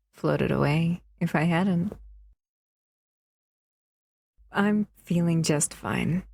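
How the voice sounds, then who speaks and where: Woman voicing a slow, husky, doting monster woman. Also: lowish voice, no room sound, clean studio sound, exaggerated voice. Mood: tender. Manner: soft, cooing, teasing. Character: possessive, doting female monster, captive in a lab. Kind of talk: intimate audio roleplay acting.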